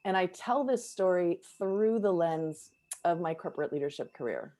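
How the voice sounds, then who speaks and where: A woman's voice: lowish voice, animated, moderate pace, warm tone. A woman author introducing her chapter in a casual chat, reflective and hesitant with fillers. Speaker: co-author guest.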